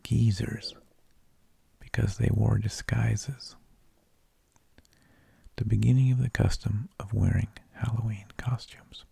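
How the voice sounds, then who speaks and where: A man whispering an ASMR narration in a hushed, breathy, gravelly voice, unhurried, with drawn-out phrases and pauses, close to the microphone. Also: fairly low pitch, little pitch movement, no echo. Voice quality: breathy, mostly unvoiced whisper with gravelly creak. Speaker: ASMR host.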